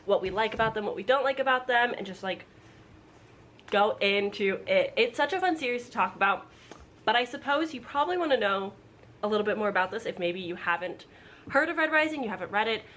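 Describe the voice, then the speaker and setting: A woman's voice: medium pitch, animated, brisk, a bit bright. A woman chatting casually to camera as a book vlogger, informal and rambling, with frequent asides. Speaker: book vlogger announcing a readalong. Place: at home indoors. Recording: background room noise, household appliance.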